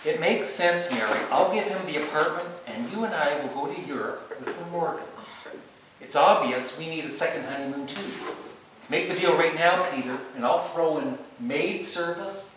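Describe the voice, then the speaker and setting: An actor playing an insecure, jealous, sarcastic older husband in a stage play rehearsal. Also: male voice, fairly high pitch, normal speed, close to an everyday voice. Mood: scheming, insistent. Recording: distant echoey rehearsal room, faint noise.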